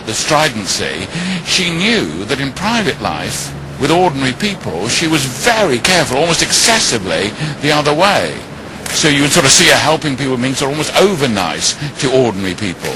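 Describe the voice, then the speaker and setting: A man's voice: medium pitch, noisy background. A man recalling things emphatically in an interview. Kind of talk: interview recollection.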